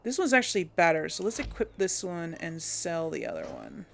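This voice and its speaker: A woman's voice: on the low side, wildly swooping pitch, moderate pace, gravelly, a bit bright. A woman chatting casually while she plays a role-playing game, thinking aloud and laughing often. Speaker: game role-play host. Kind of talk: casual let's-play commentary.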